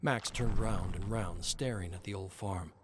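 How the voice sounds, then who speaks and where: A man's voice: sits low, a little slow, noisy background. A man reading a scripted horror story as its narrator.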